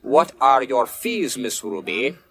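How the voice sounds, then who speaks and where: Croaky, courtly android. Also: male voice, medium pitch, very animated, a bit bright, heavily acted. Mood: persuasive, businesslike. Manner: formal, unhurried, courteous, flowery. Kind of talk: science fiction radio play acting. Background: music bed.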